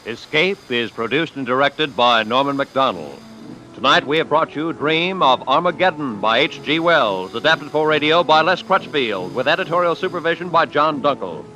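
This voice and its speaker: A man's voice: fairly high pitch, pushed and bright, low-quality recording. A man announcing credits in formal 1940s radio style, on an old broadcast recording.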